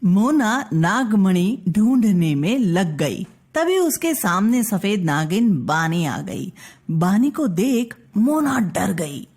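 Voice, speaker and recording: Slow, expressive woman storyteller. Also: mid-range voice, breathy edge. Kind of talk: scripted children's story narration.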